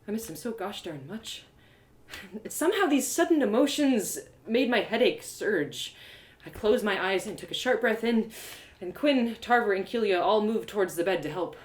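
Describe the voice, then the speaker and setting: A woman reading a first-person fantasy story live, in an expressive, confiding, dramatized narration. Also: mid-range voice, moderate pace. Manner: expressive, confiding, acted-out delivery. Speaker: storyteller and audio drama performer.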